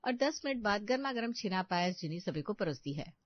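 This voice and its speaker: A woman's voice: medium pitch, expressive, brisk, dry recording, muffled recording. A woman narrating a scripted family story.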